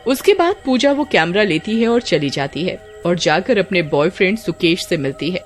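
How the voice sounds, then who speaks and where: A woman's voice: on the low side, lively intonation, normal speed, soft background music. A woman storyteller giving scripted, dramatic narration of a horror story.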